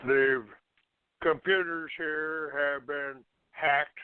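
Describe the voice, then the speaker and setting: Slow, combative man on a tinny line. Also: on the high side, fairly even intonation, clean tone, no room sound. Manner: combative, accusatory, halting. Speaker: internet call-in show host. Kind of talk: unscripted talk show rant. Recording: narrow, tinny phone-like line.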